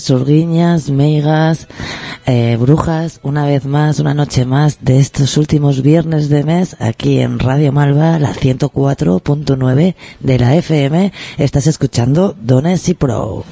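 A man's voice: on the high side, slow pace, vocal fry, dark tone, no echo. A man hosting a community radio show in a casual style. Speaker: radio host.

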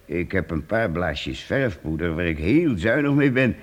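A man playing a fussy, excitable village priest. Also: deep voice, measured pace, big-room sound, dull audio, exaggerated voice. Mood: kindly. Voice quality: excitable, exclaiming. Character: kindly fussy middle-aged male priest. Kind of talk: radio drama acting.

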